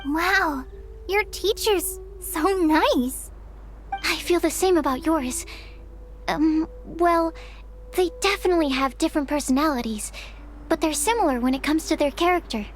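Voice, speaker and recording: A woman's voice: very high-pitched, a little slow, a little hiss or hum. A woman voicing an earnest, curious young girl in a video game, with a light, sweet voice. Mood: warm, admiring. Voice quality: girlish, light voice. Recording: game music underneath.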